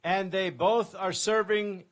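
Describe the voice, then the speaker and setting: An older man from England, preaching in short, measured phrases and waiting for each to be translated. His voice sits high and his delivery is slow and deliberate. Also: steady tone. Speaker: guest preacher. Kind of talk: sermon in English with interpreter.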